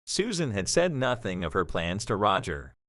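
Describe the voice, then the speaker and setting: A man's voice: fairly low pitch, sing-song, moderate pace, a bit bright, a little hiss or hum. A man reading a scripted story as the narrator of an audio drama.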